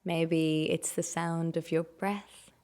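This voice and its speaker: A woman with a lowish voice and a distinct creak guides a meditation. She speaks in gentle, unhurried phrases, offering instructions as soft invitations and questions. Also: little pitch movement.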